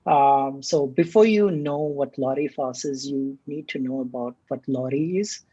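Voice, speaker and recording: A man from the Maldives, a startup CTO, answering interview questions in a relaxed, hesitant way with many fillers. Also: mid-range voice, normal speed, slightly rough, highs cut off.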